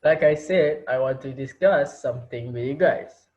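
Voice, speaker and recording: A man's voice: medium pitch, moderate pace, a little mellow, lo-fi sound, close to an everyday voice. Give me a man acting a theatrical, sinister teacher. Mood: taunting. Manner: theatrical, taunting. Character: sinister male teacher taunting captive students, mockingly playful. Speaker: actor in a student reader's theater. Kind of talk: amateur dramatic reading.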